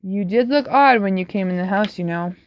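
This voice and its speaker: A woman's voice: medium pitch, fairly quick, lightly acted. Frederick, kind mild young man, read by a woman. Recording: slightly dull, dry recording.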